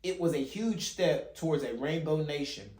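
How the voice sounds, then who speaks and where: A man's voice: a little high, normal speed, a little mellow. Echoey man reading a picture book aloud. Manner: stumbles and rereads phrases as he reads. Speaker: father hosting a children's read-along channel. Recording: roomy, echoey home recording.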